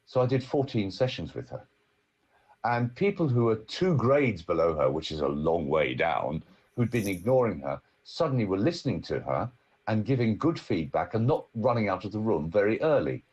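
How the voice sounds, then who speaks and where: A man, a speaking coach, telling a story in casual conversation on a podcast, in an emphatic, animated way. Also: lowish voice, moderate pace, slightly rough, muffled recording.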